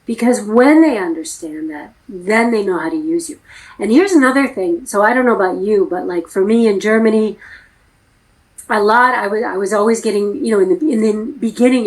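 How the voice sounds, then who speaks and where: A woman's voice: mid-range voice, normal speed, low-quality recording. A middle-aged American woman talking frankly and emphatically as an acting coach in a casual interview. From United States.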